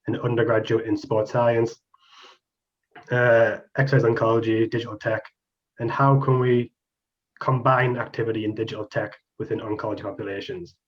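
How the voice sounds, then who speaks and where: A man's voice: medium pitch, little pitch movement, normal speed. Young Geordie man, casual researcher presenting online, ums a lot; dull lo-fi call audio. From Newcastle, England. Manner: casual, chatty explaining with frequent ums. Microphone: video call. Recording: muffled video call audio.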